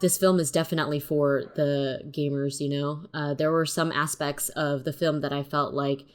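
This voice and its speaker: A woman's voice: deep voice, steady tone, normal speed. A woman co-hosting a casual film review chat, giving her opinions in a tentative way. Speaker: review show co-host.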